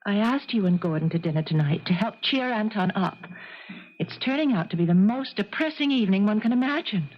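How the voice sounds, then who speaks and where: An actress playing an exasperated dinner hostess, theatrical and emphatic, in 1970s radio drama style. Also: female voice, medium pitch, animated, fairly quick, close to an everyday voice. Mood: exasperated, pleading. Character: exasperated female dinner hostess trying to keep things cheerful. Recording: dull vintage radio broadcast recording.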